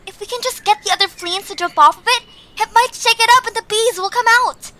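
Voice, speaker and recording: Very high-pitched plucky little creature, voiced by a woman. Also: fairly quick, pushed and bright, a little hiss or hum. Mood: urgent, determined. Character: brave, clever young creature leading frightened friends. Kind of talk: amateur cartoon-style audio drama.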